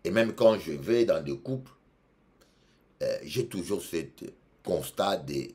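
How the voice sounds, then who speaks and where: A Congolese-born bishop preaching in French with a Congolese accent, emphatic, repeating phrases and shifting between loud exhortation and quiet asides. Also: male voice, low voice, lively intonation, takes it slowly. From Democratic Republic of the Congo. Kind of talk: preaching sermon.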